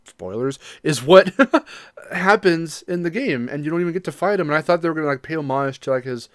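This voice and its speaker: A man chats as a podcast co-host in an informal, keen style. His voice sits a little high for a man, and the sound is dry, with very little room to it. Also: fairly quick, dry recording. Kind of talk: casual chat about games and movies.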